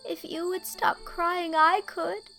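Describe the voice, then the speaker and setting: A woman's voice: very high-pitched, fairly even intonation, slow pace, gravelly, bright, forceful tone, faint music behind, pitched above the performer's own voice, exaggerated voice. A woman playing a dramatic, anxious, chatty teenage schoolgirl in a storybook reading, speaking earnestly and wordily. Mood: tearful, imploring.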